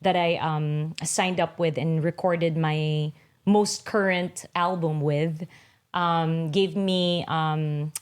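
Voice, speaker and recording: A woman's voice: low voice, steady tone, takes it slowly, smooth. A middle-aged woman from the Philippines, a singer and actress, chatting as a guest in an interview with many fillers and restarts. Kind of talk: casual interview conversation.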